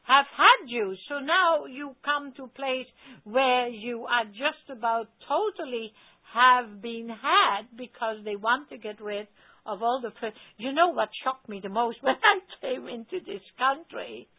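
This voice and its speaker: A woman's voice: on the high side, a little slow. A woman with a Dutch accent, telling a story animatedly on a phone call, with emphatic stammered restarts. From Netherlands. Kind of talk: casual talk on a radio call-in. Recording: tinny phone line.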